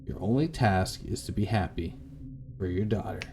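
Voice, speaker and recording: A man voicing a calm, pragmatic advisor on a phone call in a visual novel, measured and matter-of-fact. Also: fairly low pitch, creaky, a little hiss or hum. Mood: measured, reassuring.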